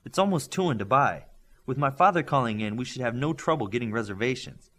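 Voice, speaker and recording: A blunt teenage boy who speaks plainly and with pride, as a male audiobook reader voices him. His pitch moves in lively swings, kept near the reader's own voice. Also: fast. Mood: proud. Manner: blunt, proud. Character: teen boy, proud, blunt team member.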